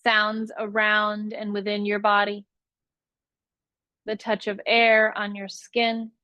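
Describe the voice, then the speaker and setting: A woman teaching a guided yoga nidra meditation, giving soothing, unhurried instructions with long pauses between phrases. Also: mid-range voice, monotone, sharp tone, no echo.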